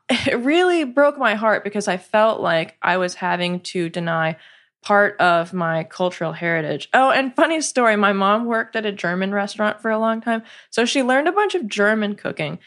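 A woman guest talking casually in an interview, chatty and quick to laugh, with plenty of fillers. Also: medium pitch, animated, fairly bright tone. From United States, partly raised in South Korea. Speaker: podcast guest, cookbook coauthor.

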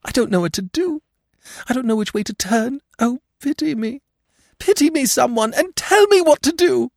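A man's voice: very high-pitched, animated, normal speed, a little mellow, no echo, studio quality, pitched above the performer's own voice, heavily acted. A man voicing a passionate, distraught young woman with a pleading manner in an audiobook. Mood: desperate. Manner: anguished, pleading. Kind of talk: audiobook character dialogue.

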